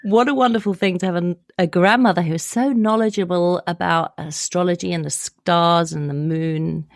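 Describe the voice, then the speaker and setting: A woman's voice: fairly low pitch, steady tone, normal speed, gravelly, no room sound, clean studio sound. A woman hosting a podcast interview, asking questions in an encouraging way. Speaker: podcast host.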